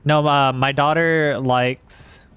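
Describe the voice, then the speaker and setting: A man with a slightly hoarse voice, chatting casually and haltingly while livestreaming a game. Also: a little high, fairly even intonation, a bit bright, no room sound. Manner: rambling, halting, self-correcting. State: hoarse from singing.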